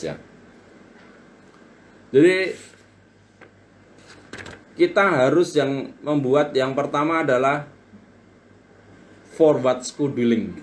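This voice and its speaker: Chatty man in his forties coaching live. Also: medium pitch. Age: 40s. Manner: reads viewer comments aloud, chatty and motivational. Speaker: live-stream business coach. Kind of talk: live-stream book talk.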